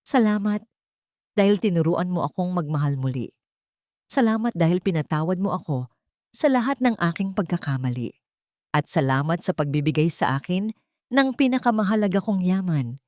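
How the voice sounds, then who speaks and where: A woman's voice: lowish voice, animated, moderate pace, darkish tone, dry recording, clean studio sound, natural voice. A woman voicing a tender, grateful husband and father in a romantic audio story, earnest and affectionate.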